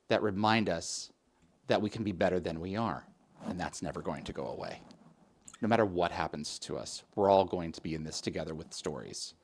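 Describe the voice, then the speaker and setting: A man hosting an interview, earnest and expansive, asking long winding questions. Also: low-pitched. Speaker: interview host.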